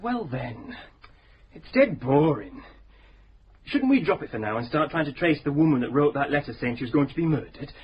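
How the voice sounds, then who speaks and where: An actor playing a chatty young detective sergeant in a radio crime drama. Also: male voice, on the high side, moderate pace. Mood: grumbling. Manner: chatty, lively and informal. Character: young detective sergeant, plain-spoken and restless. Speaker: sergeant to the inspector. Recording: slightly dull radio recording, faint hiss.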